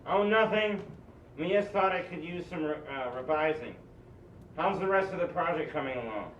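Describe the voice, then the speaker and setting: Affable, demanding male director boss , read by a man: higher voice, halting lines, roomy distant sound. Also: expressive, a bit bright. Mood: reassuring. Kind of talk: amateur script table read. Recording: echoey, muffled, distant mic, faint noise.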